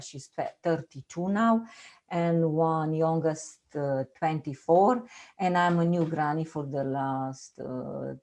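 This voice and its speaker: Earnest Romanian-accented woman, soft dark voice. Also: deep voice. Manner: earnest, repeats phrases, halting non-native English. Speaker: guest. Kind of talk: personal testimony on a video call. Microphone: video call.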